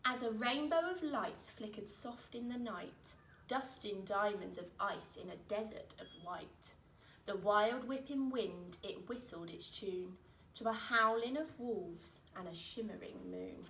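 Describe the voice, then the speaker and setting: A woman reading a rhyming children's picture book aloud in an expressive, dramatic way, with deliberate phrasing. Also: medium pitch, slight background noise. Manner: dramatic, deliberate phrasing with emphatic swells and hushes. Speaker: storyteller reading aloud, teacher. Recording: roomy echo, distant microphone.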